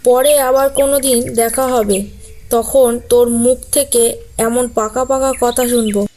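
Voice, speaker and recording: A woman's voice: on the high side, fairly even intonation, unhurried, warm tone, close to an everyday voice. A woman voicing a familiar, teasing bear auntie in a children's cartoon, with a folksy manner. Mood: amused. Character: motherly female bear aunt, teasing, familiar.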